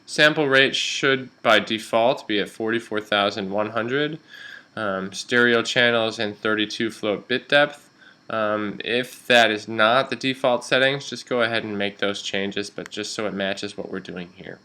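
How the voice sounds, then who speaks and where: A man teaches in an informal, step-by-step tutorial style. His voice sits a little low, with a clearly bright, forward tone. He sounds like a practical instructor showing students how to work through a program. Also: steady tone, a little slow. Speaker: radio instructor. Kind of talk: software tutorial narration, step-by-step explaining.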